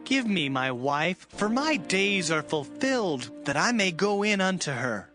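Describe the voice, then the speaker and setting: A man's voice: high-pitched, very animated, measured pace, bright, forceful tone, soft background music, heavily acted. An actor playing an earnest young biblical herdsman in a dramatized scripture reading, speaking in a formal, declamatory way. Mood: insistent.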